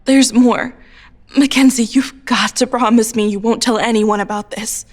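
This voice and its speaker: A woman playing a troubled, anxious teenage high school girl, speaking hesitantly and earnestly in an audio drama. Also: steady tone, moderate pace, darkish tone, natural voice. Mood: distressed. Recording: music under the voice. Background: music.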